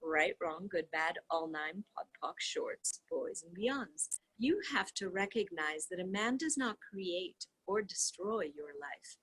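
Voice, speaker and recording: A woman's voice: on the low side, lively intonation, takes it slowly, hardly any pauses, fairly bright tone, poor audio. A woman reading a conversational self-help book aloud, voicing its questions and answers with expression. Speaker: reader of a book read-along.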